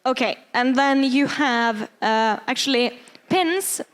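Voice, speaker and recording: A young woman who is not a native English speaker, giving a casual cosplay workshop, often pausing and searching for words. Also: sits high. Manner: hesitant, many fillers, searching for words.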